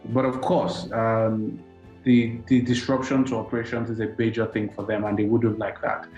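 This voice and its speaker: A man speaking as a financial analyst, explaining economic effects in a measured way. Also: fairly low pitch, level tone, a little mellow, echoey room, muffled recording, soft background music. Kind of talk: studio interview answers.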